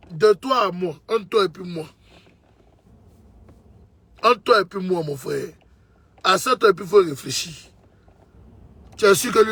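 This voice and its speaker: A man's voice: high-pitched, bright, forceful tone, husky, dry recording. A man from Côte d'Ivoire, giving an impassioned political monologue, emphatic and repeating his points to the listener as a brother. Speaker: political commentator.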